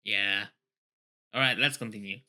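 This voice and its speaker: A man hosting a read-along, moving between reading a story aloud and excitable, casual commentary full of tangents. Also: lowish voice, unhurried. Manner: excitable, often laughing and digressing.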